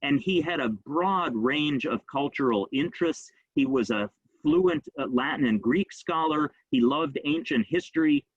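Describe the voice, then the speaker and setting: A man giving an informal history talk, speaking quickly and conversationally with frequent ums and uhs. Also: a little high, animated, husky, no room sound, muffled recording. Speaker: rabbi giving a history lecture.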